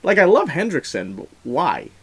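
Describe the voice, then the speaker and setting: A man giving a conversational film review as host, animated and emphatic, with informal, slangy asides. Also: on the high side, moderate pace, breathy edge.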